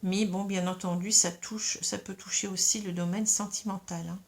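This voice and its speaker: Woman with a cold, tarot reader, casual and halting. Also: mid-range voice, fairly even intonation. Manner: hesitant, many fillers and tag questions. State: has a cold. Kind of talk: casual tarot reading to camera.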